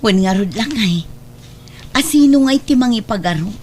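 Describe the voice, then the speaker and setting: A woman's voice: fairly high pitch, expressive, normal speed, darkish tone, slight background noise, natural voice. A woman with an Ilocano accent playing an earnest, persuasive character in a family drama. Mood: grateful. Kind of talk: Ilocano radio-style family drama acting.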